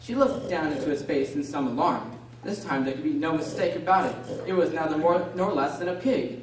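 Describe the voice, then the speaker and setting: A woman narrating a scripted storybook radio drama in an expressive, theatrical way. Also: low-pitched, brisk, crowd noise. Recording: echoey room, distant mic, loud noise.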